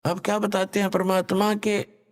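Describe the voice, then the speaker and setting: Male preacher, high gravelly voice, reciting couplets slowly, long hall reverb. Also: level tone. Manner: slow, drawn-out recitation. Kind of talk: devotional discourse with recited couplets.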